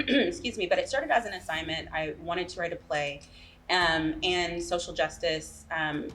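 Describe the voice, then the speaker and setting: Female interview guest, low register, conversational, slight background noise. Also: fairly bright tone. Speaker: playwright and guest. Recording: faint background room noise.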